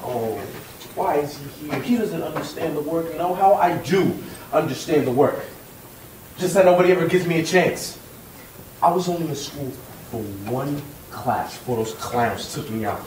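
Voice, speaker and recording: A man's voice: a little high, animated, moderate pace, darkish tone, slight background noise, lightly acted. A young man playing a cocky, aggrieved student. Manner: complaining, wry. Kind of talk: stage monologue. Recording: echoey room from far off.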